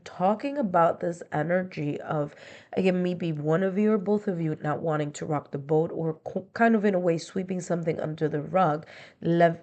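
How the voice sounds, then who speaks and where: A woman's voice: low-pitched, darkish tone. A woman with a congested, stuffy nasal voice gives a conversational tarot and astrology reading, explaining each point with strings of near-synonyms. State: stuffy, congested from being under the weather. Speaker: tarot reader.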